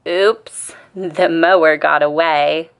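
A woman's voice: deep voice, steady tone, slow, clear, resonant tone. A woman reading the part of an earnest, upbeat little boy critter in a storybook. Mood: apologetic.

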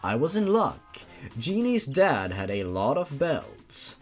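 A man's voice: mid-range voice, sing-song, slow, faint music behind. A man hosting a dramatic read-aloud of a horror story as its narrator. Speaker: host reading a fan horror story aloud.

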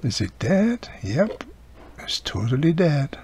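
Gravelly-voiced man, casual repair commentary. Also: on the high side, very animated, slow pace, darkish tone. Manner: talks in short remarks while working. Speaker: host, radio repairer. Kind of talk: casual hands-on repair narration. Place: indoor workbench. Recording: noisy recording with background room noise.

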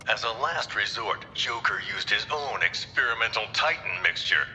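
An actor playing a dramatic documentary narrator in a video game, grave and punchy. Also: male voice, lowish voice, expressive, normal speed, pushed and bright, slight background noise. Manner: grave, punchy announcer delivery. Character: dramatic male TV documentary narrator of villain histories. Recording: long hall reverb, faint music.

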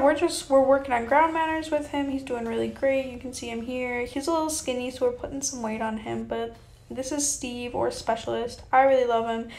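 A woman's voice: on the high side, vocal fry. A young woman giving a chatty, informal vlog voiceover as a horse rider. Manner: chatty, informal, hedging with kinda and you know. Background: background music.

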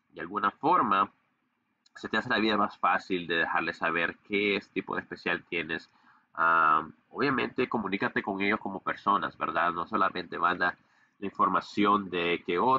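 Low-voiced man giving a casual screen-share tutorial. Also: sharp tone, dry recording, dull audio. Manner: casual, many fillers and restarts. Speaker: digital marketing agency owner. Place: indoor room, webcam in corner of screen recording.

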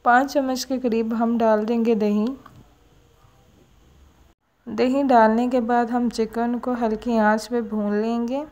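A woman calmly narrating a home cooking recipe step by step as host of her cooking channel. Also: fairly high pitch, slow pace, pauses often.

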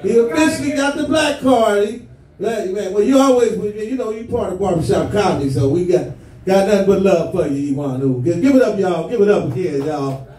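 High-voiced man hosting an open mic. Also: animated, fairly quick, mellow. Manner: loose, joking, hyping the crowd. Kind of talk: emcee banter. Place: small barbershop comedy venue. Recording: roomy, from far off, music behind.

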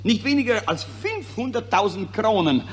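An actor playing a gullible newspaper subscriber, eager and questioning, in a 1940s radio satire. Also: male voice, high voice, expressive, normal speed. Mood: eager, credulous. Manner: questioning, easily impressed.

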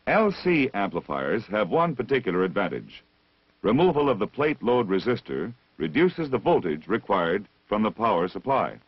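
A man reading scripted technical instruction as the narrator of a vintage military training film, measured and deliberate, on a dull old film soundtrack. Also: fairly low pitch, very animated, slightly rough, no echo. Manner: measured, didactic, deliberate enunciation.

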